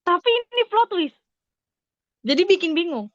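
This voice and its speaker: An Indonesian woman chatting casually as a podcast co-host, asking questions in a halting way and repeating her words. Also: very high-pitched, animated, no room sound, highs cut off. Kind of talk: casual chat.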